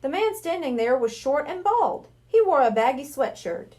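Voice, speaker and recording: A woman's voice: high-pitched, sing-song, moderate pace, a little mellow. A woman reading a children's storybook aloud as scripted narration. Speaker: library reader of a children's book.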